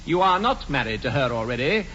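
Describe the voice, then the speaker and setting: Bright, teasing English bachelor. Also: male voice, mid-range voice, very animated, a little fast. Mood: teasing disapproval. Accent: upper-class English. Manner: arch, crisply insistent. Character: suave, teasing young Victorian bachelor. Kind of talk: 1940s radio comedy acting. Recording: dull, noisy 1940s radio recording.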